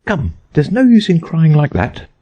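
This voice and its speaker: A man's voice: on the high side, wildly swooping pitch, normal speed, warm tone, husky, muffled recording, voice pushed up, full character voice. A man voicing a curious, prim little storybook girl who talks earnestly to herself. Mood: scolding. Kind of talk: storybook audiobook character voice.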